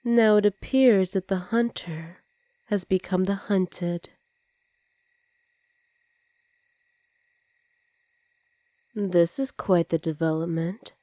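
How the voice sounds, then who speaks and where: Woman acting a smug, mellow-voiced supervillainess. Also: fairly low pitch, fairly even intonation, unhurried, no room sound, clean studio sound, close to an everyday voice. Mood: commanding, gloating. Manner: smug, teasing, commanding, often dropping to soft whispers. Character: dominant female supervillain with superpowers, teasing and possessive. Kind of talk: ASMR roleplay.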